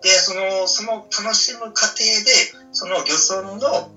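A man's voice: high-pitched, fairly even intonation, unhurried. A man co-hosting a casual talk radio show, politely explaining an idea at length. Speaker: co-host. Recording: lo-fi, roomy, distant from mic, soft music behind.

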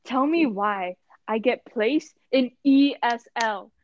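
A woman's voice: a little high, takes it slowly, dull audio, very noisy. A young woman co-hosting a casual podcast, rambling through a story with lots of "like" and acting out other people's lines. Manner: rambling, full of "like", acts out voices in anecdotes. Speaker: podcast co-host.

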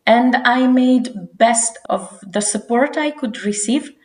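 A woman's voice: a little high, slow, darkish tone. A woman in her forties from Romania, giving earnest interview answers with frequent restarts. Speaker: guest. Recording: roomy echo.

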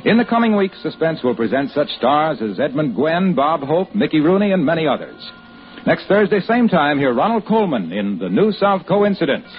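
A man announcing and pitching a sponsor in polished, emphatic 1940s radio style. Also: big pitch swings, moderate pace. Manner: polished, emphatic salesman delivery. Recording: muffled, hissy old radio recording.

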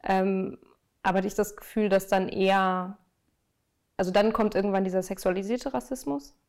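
Young woman, from Germany, interview guest, earnest, measured, pauses with fillers. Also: medium pitch, fairly even intonation, a little mellow. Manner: thoughtful, many fillers, earnest. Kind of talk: reflective conversational interview.

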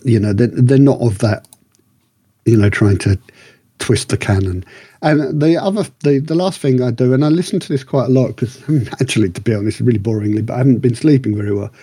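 A man with a relaxed, husky voice chats through a review on a podcast, recorded dry and close. He rambles enthusiastically, often correcting himself as he goes. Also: mid-range voice, a little fast, dry recording. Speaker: podcast co-host reviewing books and radio dramas. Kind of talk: casual review chat.

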